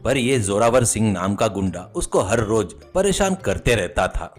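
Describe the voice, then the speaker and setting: A man's voice: on the low side, animated, moderate pace. A man narrating a scripted comic moral story as its storyteller. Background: music.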